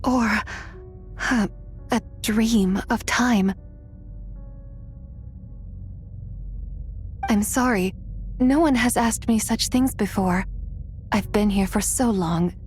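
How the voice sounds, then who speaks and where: A woman playing a gentle, lonely moon spirit in a fantasy game, dreamy and hesitant, pausing between phrases. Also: mid-range voice, close to an everyday voice. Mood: apologetic, hesitant. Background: soft background music.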